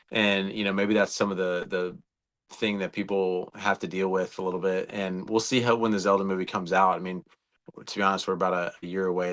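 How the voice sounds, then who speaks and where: A man with a low-pitched voice, talking as a co-host in a relaxed-format fan discussion. His delivery is informal and somewhat halting, working out his thoughts aloud. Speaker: co-host of a video game fan show. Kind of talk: casual conversational film discussion.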